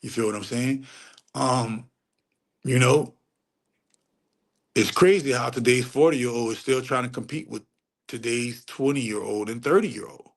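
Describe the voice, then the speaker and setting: A middle-aged man on a casual livestream rant, preachy and profane, repeating phrases for emphasis and climbing sharply in pitch when he gets heated. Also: unhurried, gravelly, long pauses. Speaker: livestream host answering chat.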